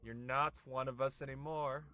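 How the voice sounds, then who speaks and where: A man's voice: medium pitch, steady tone, moderate pace, pushed and bright, lightly acted. An actor playing a smug male enforcer in a game, mocking and taunting as he talks. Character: smug male enforcer officer making an arrest. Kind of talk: voiced game dialogue. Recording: music behind.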